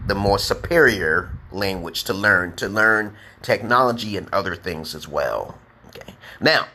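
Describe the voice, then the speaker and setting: A man with a New York accent teaching beginner English on a live stream, speaking slowly and emphatically and checking in with "okay?". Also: lowish voice, bright, forceful tone. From United States. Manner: drawn-out, emphatic, repeats letters and "okay?". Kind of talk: slow deliberate live-stream lesson.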